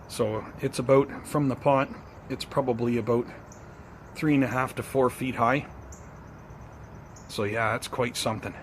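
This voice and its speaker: Male gardening vlogger, mid-pitched, casual and unhurried, slightly hesitant, recorded outdoors with faint ambient noise. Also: fairly even intonation. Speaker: host of a bonsai gardening vlog. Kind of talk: casual vlog chat to viewers.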